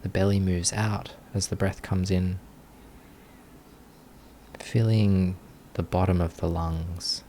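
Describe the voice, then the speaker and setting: A man leading a guided meditation as a teacher, giving gentle, unhurried instructions with long pauses between phrases. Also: sits low, level tone, slight background noise.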